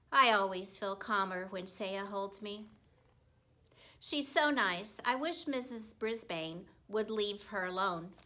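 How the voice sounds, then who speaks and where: A woman reading a children's story aloud as a teacher would, with expressive narration and deliberate, emphatic phrasing. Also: medium pitch.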